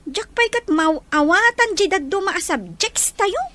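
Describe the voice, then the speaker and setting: A woman as a very high, whiny schoolgirl. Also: animated, takes it slowly, pushed and bright, full character voice. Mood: sulky. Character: lazy, whining teenage schoolgirl daughter. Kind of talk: Filipino radio drama acting. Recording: background noise and ambience.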